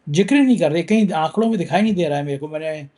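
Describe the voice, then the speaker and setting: A man's voice: fairly high pitch, very fast, dark tone. A man hosting a political news talk show, talking with a sore throat in a conversational, emphatic way.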